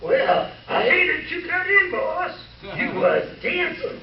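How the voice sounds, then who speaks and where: Cheeky male valet played by an actor, very high voice, animated radio comedy style, echoey distant stage sound. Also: slow pace, a crowd in the background, exaggerated voice. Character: cheeky male valet teasing his boss. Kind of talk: 1940s radio comedy acting. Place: live stage show before an audience. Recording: echoey stage recording, distant, noisy, dull.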